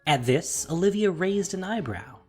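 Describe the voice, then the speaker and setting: A man narrating a scripted fantasy story in a measured, dramatic way. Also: sits high, expressive, faint music behind.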